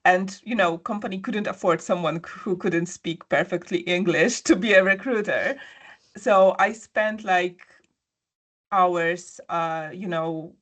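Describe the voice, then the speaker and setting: A woman's voice: fairly low pitch, unhurried, fairly bright tone. A woman guest, telling a personal story in a conversational interview with many fillers. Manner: storytelling, frequent fillers and restarts.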